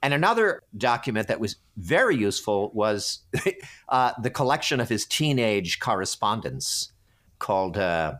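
A man with a loud voice, an actor being interviewed, recalling his work in a chatty, animated, extroverted way. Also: lowish voice, moderate pace.

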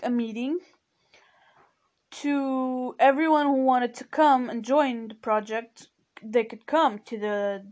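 Sleepy portuguese teen girl just chatting, kinda high voice, talks real slow, lots of "you know". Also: a little mellow. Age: teens. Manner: rambling, full of "you know" and "like". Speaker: podcast host. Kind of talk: casual personal chat.

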